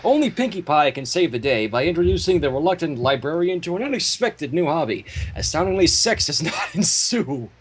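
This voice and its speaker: A man's voice: fairly high pitch, sing-song, a little fast, hardly any pauses, background noise. A man chatting casually on a livestream as a fanfiction reader, with a playfully theatrical, mock-courtly way of talking.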